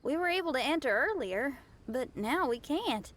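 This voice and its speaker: A woman voicing a sweet, gentle and good-humored young companion in a video game. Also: high voice, expressive, normal speed, slight background noise.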